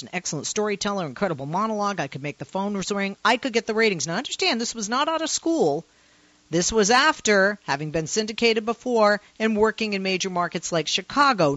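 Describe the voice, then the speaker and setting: A woman with a low voice for a woman hosts a call-in talk radio show, talking in a passionate, emphatic way. Her pitch swings a lot as she speaks. The sound is dry and a little muffled, like a radio broadcast. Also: fairly bright tone, dry recording. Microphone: studio microphone.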